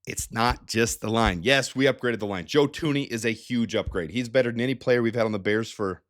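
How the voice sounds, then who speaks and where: A man's voice: mid-range voice, brisk. A man hosting a sports analysis show, talking casually through stats with a slightly nasal, stuffed-up sound from a head cold. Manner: energetic, chatty, with frequent restarts and asides. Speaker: sports channel host.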